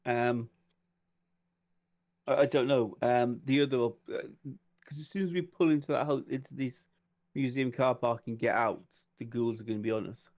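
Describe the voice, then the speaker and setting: A man with an average-pitched voice chats as a player in a tabletop game. He talks casually about options and rolls, and the recording is slightly dull and lo-fi. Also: normal speed, darkish tone. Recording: slightly dull, muffled sound.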